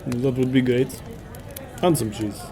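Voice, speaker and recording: A man's voice: mid-range voice, steady tone, unhurried, lightly acted. A man acting a shrewd lawman amid noisy ambience. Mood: probing politeness. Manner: courteous, probing, formal. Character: sanctimonious, shrewd village lawman, a male peacekeeper. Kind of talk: audio drama dialogue. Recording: clear background ambience noise.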